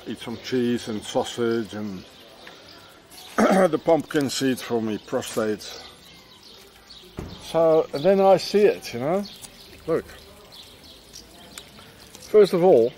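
A man's voice: medium pitch, dark tone, a little hiss or hum. Middle-aged man, meandering rant, outdoor walk, faint birdsong behind. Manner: meandering, ranting, with tag questions. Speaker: vlogger. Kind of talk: rambling outdoor monologue. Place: outdoors in the countryside, walking a path.

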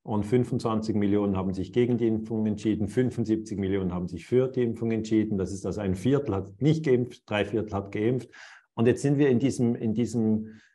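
Moderator, Mann mit Schweizer Akzent, tiefe Lage, stockend und bedächtig im Interview. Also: male voice, lowish voice, fairly quick, warm tone. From Switzerland. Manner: hesitant, many fillers and restarts. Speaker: host. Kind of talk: interview over video call.

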